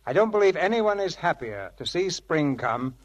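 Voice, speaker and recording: A man presenting scripted 1940s radio theatre as host and narrator, with grand, measured showmanship. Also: fairly high pitch, sing-song, highs cut off. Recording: vintage radio broadcast with music.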